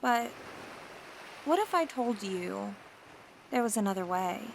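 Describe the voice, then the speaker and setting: A woman voicing a seductive, scheming mermaid in an ASMR roleplay, talking in a teasing, flattering, coaxing way. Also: mid-range voice, takes it slowly, vocal fry, slight background noise.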